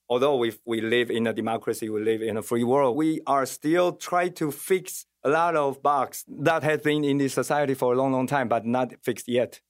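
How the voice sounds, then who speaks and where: A man's voice: medium pitch, no echo, studio quality. A man from Taiwan with a Taiwanese accent, a metal musician answering interview questions in earnest, searching for his words in English.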